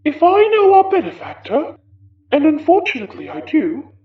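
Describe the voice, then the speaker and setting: A woman's voice: high voice, wildly swooping pitch, moderate pace, reverberant, phone-quality sound. A woman playing a genteel, smug, sinister possessing spirit in a horror audio drama, with theatrical, condescending old-fashioned phrasing. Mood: smug, menacing.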